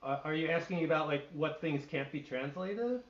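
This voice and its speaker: A man's voice: on the high side, moderate pace. A middle-aged man, a translator, answering questions on a video call in a thoughtful way, often restarting as he searches for words. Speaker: literary translator and poet. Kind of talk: answering questions at an online reading. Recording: echoey, dull lo-fi call audio.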